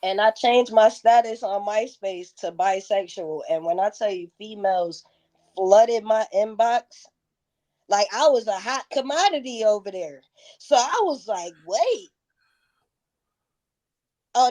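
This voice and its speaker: A woman's voice: a little high, lively intonation, measured pace, darkish tone. A woman in her thirties telling stories candidly in a casual interview, with slangy, profane, emphatic phrasing. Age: 30s. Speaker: interview guest, music performer.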